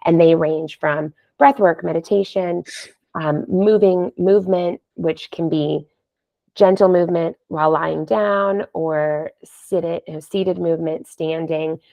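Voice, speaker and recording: A woman's voice: lowish voice, steady tone, unhurried, creaky, no echo. A woman speaking casually and enthusiastically as an interview guest on a podcast. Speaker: podcast guest, wellness app founder. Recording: slightly dull lo-fi audio.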